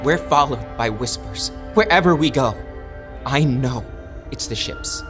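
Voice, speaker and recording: A man playing a restless, defiant young man in an audio drama, speaking in a halting, emotional way. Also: mid-range voice, lively intonation, over music, natural voice. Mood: haunted.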